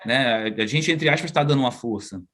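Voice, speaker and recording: A man's voice: mid-range voice, moderate pace, fairly bright tone. Chatty Brazilian man on a video call. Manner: chatty, slangy, full of tag questions. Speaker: guest psychologist and researcher. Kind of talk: casual video-call interview. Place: outdoors at home, moving inside.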